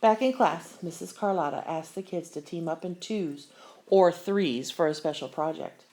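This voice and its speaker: A woman reading a children's picture book aloud with expressive, deliberate storyteller phrasing. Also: fairly low pitch. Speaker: story reader.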